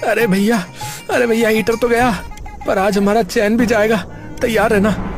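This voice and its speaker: A man's voice: high voice, fairly even intonation, unhurried, over music. An actor voicing an easygoing, joking young man in a cartoon, talking in a casual, colloquial way. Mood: teasing. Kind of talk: cartoon character acting.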